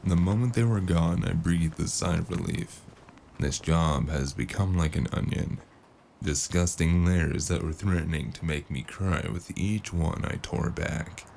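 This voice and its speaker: An actor playing a cynical, deadpan mercenary in a noir audio drama, with a deep gravelly voice. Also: male voice, unhurried, a little hiss or hum. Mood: weary narration. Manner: sardonic, deadpan. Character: cynical, sarcastic mercenary man narrating his own noir tale.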